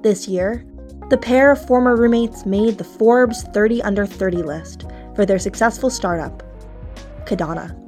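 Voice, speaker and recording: A woman hosting a podcast, moving between relaxed interview chat and scripted narration in a friendly, upbeat manner. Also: mid-range voice. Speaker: podcast host.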